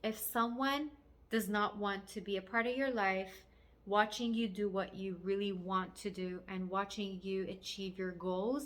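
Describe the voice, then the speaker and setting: A woman's voice: mid-range voice, bright, forceful tone. A woman with a Persian accent, a native Farsi speaker, talking as a life coach in an earnest, encouraging, emphatic motivational address. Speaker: life coach and video host. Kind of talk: motivational talk to camera.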